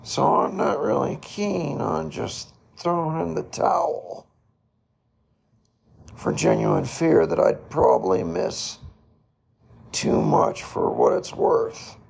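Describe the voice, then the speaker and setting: Hoarse, gravelly man talking slowly. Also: on the high side, huge pitch swings, darkish tone. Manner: slow, halting, pausing to reflect. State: hoarse, raspy voice. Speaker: podcast host. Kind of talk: solo reflective podcast monologue.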